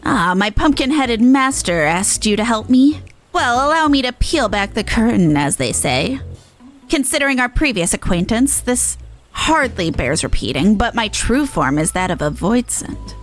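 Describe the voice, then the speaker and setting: A woman voicing a pompous, gleefully macabre demon showman from a game, with a grandiose, drawn-out delivery. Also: a little high, sing-song, faint music behind. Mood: wry, grand. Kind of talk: voiced reading of game dialogue.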